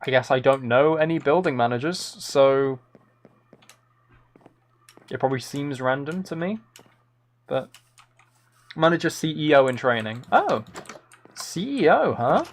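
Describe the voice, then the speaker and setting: A man's voice: mid-range voice, lively intonation, a little slow, long pauses. A man hosting a live game stream in casual chat, rambling playfully and thinking aloud into a close microphone.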